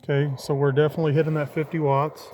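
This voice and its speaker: A middle-aged man with a somewhat high-pitched voice talks casually through a hands-on demo. He is folksy and informal, working things out aloud. The amateur recording carries some noise behind him. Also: level tone, quick pace, a little mellow. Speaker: hobbyist vlogger demonstrating a CB radio. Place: in or beside a parked vehicle. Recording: clearly noisy handheld amateur recording.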